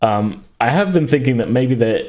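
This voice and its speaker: A man's voice: mid-range voice, animated, normal speed, a little mellow. A man casually refereeing a tabletop game as game master, hesitant and easygoing as he thinks aloud.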